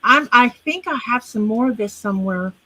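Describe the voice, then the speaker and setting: A woman's voice: a little high, expressive, moderate pace, pushed and bright, dry recording, low-quality recording. A woman chatting casually and thinking aloud, with hesitant fillers as she talks. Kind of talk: casual chat.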